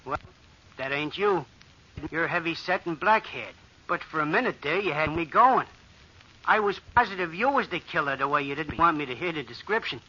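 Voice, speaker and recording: An actor playing a nosy, familiar barroom fellow with slangy, insistent talk in an old radio drama. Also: male voice, a little high, lively intonation, normal speed, sharp tone. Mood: brash, chummy. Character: nosy, chummy tough-talking barroom fellow. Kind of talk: 1940s radio drama acting. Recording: muffled old radio recording.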